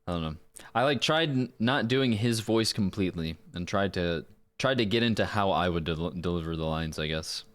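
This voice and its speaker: Male streamer and voice actor, lowish pitch, loose casual chat, self-deprecating. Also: lively intonation, normal speed. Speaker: livestreaming voice actor.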